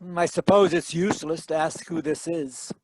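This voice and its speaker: A wisecracking, tough detective played by a man, pitched high for a gumshoe. The delivery is dry and wry, in the manner of 1940s radio drama. The sound is close and free of room echo, taken from a live video call. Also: fairly even intonation, normal speed, darkish tone, close to an everyday voice. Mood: dry, probing. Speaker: actor narrating and playing lead detective.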